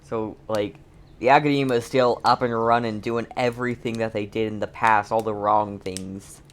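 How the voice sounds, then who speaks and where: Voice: mid-range voice, steady tone, moderate pace. A streamer giving casual, rambling, playful commentary during a game playthrough.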